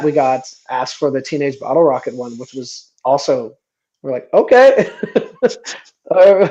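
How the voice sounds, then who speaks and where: A man's voice: on the high side, darkish tone, muffled recording. A man in his forties from Canada, talking casually as a band member guest in a radio interview, rambling with many restarts and easy laughs. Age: 40s.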